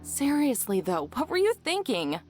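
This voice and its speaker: A woman's voice: sits high, huge pitch swings, moderate pace, darkish tone, soft background music. A woman playing a guarded but caring young drifter in a visual novel, speaking in a dry, casual way. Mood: reassuring.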